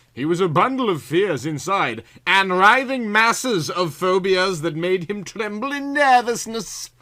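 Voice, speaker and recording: Animated, bright-voiced man narrating theatrically. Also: high voice. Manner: theatrical, exaggerated delivery. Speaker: narrator reading a story aloud. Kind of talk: melodramatic comic audiobook narration.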